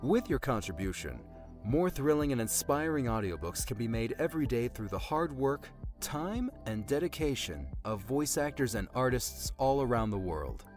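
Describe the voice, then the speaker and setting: A man's voice: mid-range voice, moderate pace. A man, a voice actor, giving an upbeat promotional sign-off. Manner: upbeat, animated appeal. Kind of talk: promotional outro. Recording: music under the voice.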